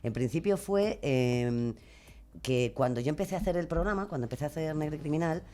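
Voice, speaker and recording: A woman with a Spain Spanish accent talks casually as a podcast guest, explaining how she narrates. Her delivery is expressive, dropping in little acted-out asides. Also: sits low, a little fast. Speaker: podcast guest, true crime narrator and creator. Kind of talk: casual conversational explanation of craft.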